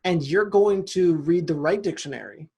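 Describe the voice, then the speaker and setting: A husky man chatting loosely on a podcast with his friends. He riffs on his own jokes and trips over restarts as he goes. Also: fairly high pitch, normal speed, mellow. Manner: joking, rambling with many restarts. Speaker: podcast host. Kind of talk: casual chat among friends.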